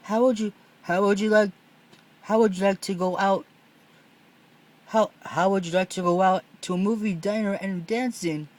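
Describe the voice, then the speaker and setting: A shy, odd boy from a storybook, played by a man reading aloud, somewhat high in pitch. He is coy and halting as he tries to woo. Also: fairly even intonation, lightly acted. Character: shy, odd boy wooing a grown woman.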